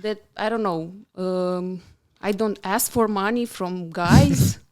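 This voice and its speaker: Young woman, Romanian accent, smooth voice, casual podcast guest, rambling with fillers. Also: mid-range voice, slow, a little mellow. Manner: chatty, many fillers and restarts. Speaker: guest architect.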